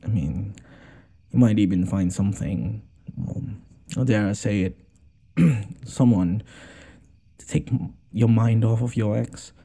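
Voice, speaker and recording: A man playing a gentle, smitten noble lord in an intimate audio roleplay, hesitant and apologetic, stammering and sighing as he talks. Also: lowish voice, takes it slowly, vocal fry, pauses often, husky, full character voice. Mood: tentative, amused.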